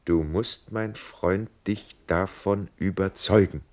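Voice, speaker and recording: An actor playing a grave, loyal officer friend in a classical verse radio drama, speaking in an earnest, admonishing manner. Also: male voice, low-pitched, slow pace. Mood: probing.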